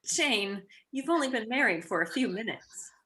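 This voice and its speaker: A woman's voice: on the high side, animated, normal speed, pushed and bright, poor audio. A woman playing a clever, teasing young society wife with playful, wry delivery in a radio play. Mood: curious, surprised.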